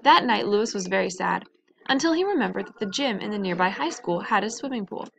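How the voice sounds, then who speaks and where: A woman's voice: medium pitch, animated, a little fast, vocal fry, slight background noise. A woman reading a children's story aloud in a narrating style. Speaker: storybook reader.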